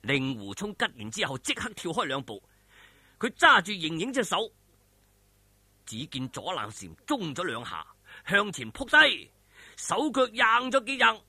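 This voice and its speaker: A man's voice: high-pitched, huge pitch swings, measured pace, pushed and bright, husky, no room sound. A man with a Hong Kong Cantonese accent narrating a martial arts story in a theatrical, colloquial, emphatic way. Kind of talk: dramatic wuxia audiobook narration.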